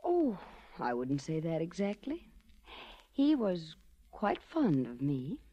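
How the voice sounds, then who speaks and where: An actress playing a glamorous, seductive lady racketeer in a 1940s radio drama, speaking in a sultry, coy, deliberate way. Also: fairly low pitch, wildly swooping pitch, heavily acted. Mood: coy, confident. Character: glamorous, seductive, self-possessed female racketeer. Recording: dull, lo-fi 1940s radio recording.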